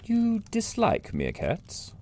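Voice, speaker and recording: An actor playing a calm, probing interrogator who speaks in a slow, measured way. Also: mid-range voice, creaky, a little hiss or hum, full character voice.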